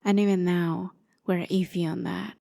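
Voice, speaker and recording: A woman's voice: lowish voice, flat delivery, normal speed, creaky, dark tone. A woman playing an exasperated, sarcastic gambling deity who scolds in a theatrical way, in a fantasy ASMR roleplay.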